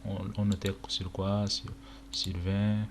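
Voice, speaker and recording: A young man from Chad whose first language is Arabic, casually talking through a screen-recorded walkthrough with many pauses and fillers. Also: fairly low pitch, level tone. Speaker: tutorial presenter. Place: indoor room at a computer. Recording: noisy home recording with keyboard clicks.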